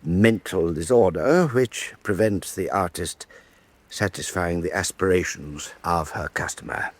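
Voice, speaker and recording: An actor playing a powerful man of state who talks in a measured, smooth, stalling way. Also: low-pitched, no room sound. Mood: evasive. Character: powerful, evasive man of state showing a painting. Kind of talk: radio drama acting.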